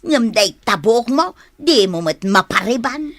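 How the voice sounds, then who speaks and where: An actress with an Ilocano accent playing a vain, easily offended neighbourhood wife in a radio comedy drama, indignant and taunting. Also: female voice, on the high side, expressive, measured pace, fairly bright tone, heavily acted.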